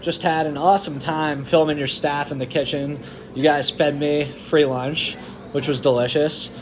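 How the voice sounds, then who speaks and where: A man's voice: on the high side, fairly even intonation, normal speed, noisy background. A man interviewing a restaurant owner in a casual, upbeat and friendly way. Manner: upbeat and friendly, often saying "that's awesome". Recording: clear crowd chatter behind.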